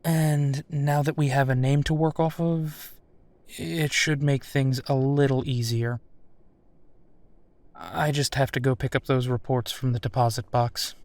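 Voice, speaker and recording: A man acts out a protective werewolf detective for an intimate audio roleplay. His voice sits at a middle pitch and he talks with caring, worried steadiness, pausing as he reassures. The sound is dry and close, with no room on it. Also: fairly even intonation, a little mellow, no room sound, lightly acted. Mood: serious, planning.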